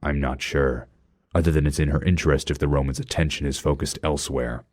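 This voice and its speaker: A man playing a brooding, commanding masked vigilante detective in an audio drama, terse and grave with a low growl. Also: steady tone, fairly quick, darkish tone, dry recording. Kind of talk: fan audio drama acting with noir narration. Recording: music under the voice.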